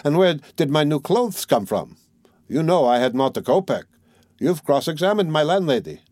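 A man reading an audiobook as a feverish, irritable young man, defiant and frantic, between quiet venom and pleading. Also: a little high, very animated, moderate pace, no echo, clean studio sound, pitched above the performer's own voice. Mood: feverish, taunting. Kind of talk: dramatised audiobook dialogue.

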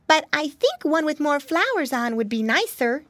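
Tiny storybook piglet girl, acted by a woman, high and animated, sweet and imploring. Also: moderate pace, no echo. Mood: admiring. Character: little baby girl pig, sweet, pleading.

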